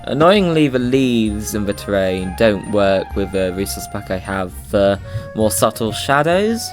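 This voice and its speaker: A man's voice: on the low side, sing-song, unhurried, music in the background. A man with a slight cold, giving playful, bouncy commentary on his Minecraft video. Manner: playful, bouncy, silly sing-song asides. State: slightly under the weather with a cold. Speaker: Minecraft YouTuber. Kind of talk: casual gameplay commentary.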